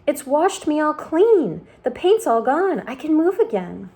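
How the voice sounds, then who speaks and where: A loud, crowing storybook centipede, boastful and giddy, bouncing high above his narrator's usual pitch, as a woman reads him. His voice is mellow and dark in tone even while he shouts and sings. He is a show-off through and through. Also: a little slow, exaggerated voice. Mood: excited. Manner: loud, gleeful, sing-song. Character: boastful excitable male centipede.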